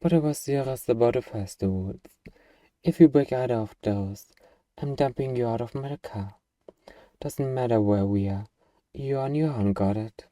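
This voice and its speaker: A very dark, muffled voice in a dry space, a little way off the mic, plays as an acted role a hardened zombie apocalypse survivor. The delivery is sarcastic and swaggering, with a casual, defiant edge suited to an ASMR audio drama. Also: mid-range voice, normal speed, no room sound, far from the mic, natural voice. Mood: teasing, wry.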